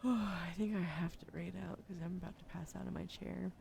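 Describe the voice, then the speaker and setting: A young woman streaming ASMR, drowsy and soft-spoken, slipping into whispers as she chats. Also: fairly low pitch, steady tone, normal speed, creaky, slight background noise. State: sleepy. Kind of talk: sleepy ASMR stream chat.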